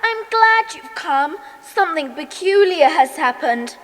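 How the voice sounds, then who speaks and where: High, unhurried, cheeky little girl. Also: animated. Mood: pleading. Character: pleading, cheeky young girl, little sister. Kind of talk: children's audiobook dramatisation. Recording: long hall-like reverb.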